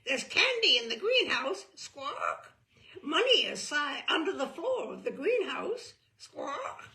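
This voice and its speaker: A woman's voice: high voice, sing-song, takes it slowly, pushed and bright, voice pushed up, heavily acted. A woman voicing a secretive pet parrot that squawks between its pronouncements. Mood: squawky.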